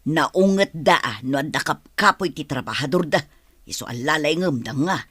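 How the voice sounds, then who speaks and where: A woman's voice: low-pitched, lively intonation, moderate pace, fairly bright tone, breathy edge, dry recording, heavily acted. An actress playing a strict older head housekeeper, respectful to her masters, in an Ilocano radio comedy-drama.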